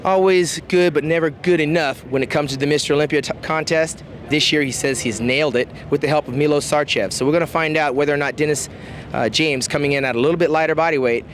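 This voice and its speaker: A man hosting and reporting live from backstage at a bodybuilding contest, introducing competitors and interviewing them in an energetic, announcer-like way. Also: fairly high pitch. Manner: energetic, rapid-fire, announcer-like. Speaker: interviewer, event host.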